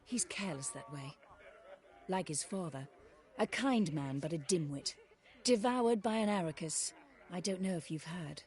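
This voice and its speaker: A woman playing a composed aristocratic mother in a fantasy video game, formal and measured with dry disdain. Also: fairly low pitch, lively intonation, darkish tone, lightly acted. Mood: dry, resigned.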